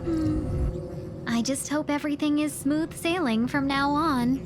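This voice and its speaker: A woman playing a polite, silver-tongued merchant guide in a video game, speaking in formal, measured phrases. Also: sits high. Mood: gently wry. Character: courteous, silver-tongued young female merchant envoy and guide. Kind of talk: video game cutscene acting. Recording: music behind the voice. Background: music.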